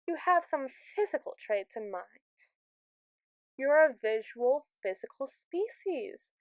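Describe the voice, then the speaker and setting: A woman's voice: a little high, smooth, dry recording, lo-fi sound, natural voice. A woman playing a smug, sassy, domineering female robot AI in an ASMR roleplay monologue, theatrical and wordy as she teases the listener. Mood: playful, insistent. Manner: sassy, theatrical, verbose and teasing.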